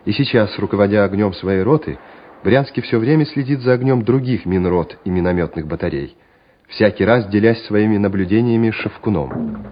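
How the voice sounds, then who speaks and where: A man's voice: on the low side, expressive, normal speed, slight background noise. A man narrating a war radio play in a scripted, dramatic storytelling style. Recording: dull radio recording with music behind.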